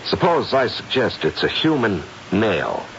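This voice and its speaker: Deep-voiced, theatrical psychic detective. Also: male voice, big pitch swings, fairly bright tone, full character voice. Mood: probing, brisk. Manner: theatrical, deliberate, with hesitant probing pauses. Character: methodical psychic detective, confident and probing. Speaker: narrator and lead character. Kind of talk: 1970s radio drama acting. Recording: muffled vintage radio broadcast, faint noise.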